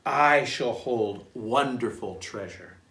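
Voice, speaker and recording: A man voicing hopeful, proud young trees in a storybook, declaring their dreams of greatness in a measured way. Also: mid-range voice, a bit bright, voice pushed down. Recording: roomy echo, distant microphone.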